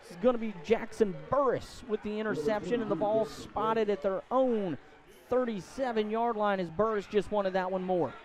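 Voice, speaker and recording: A man doing excitable live play-by-play commentary of a high school football game, outdoors at the stadium. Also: normal speed, crowd noise. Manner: excitable, voice climbs to shouts on big plays. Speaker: play-by-play sports announcer.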